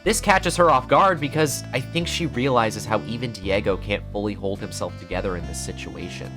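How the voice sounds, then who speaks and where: A man's voice: lowish voice, moderate pace, fairly bright tone, soft background music. A man delivering scripted, analytical video essay narration in an earnest manner with dramatic emphasis.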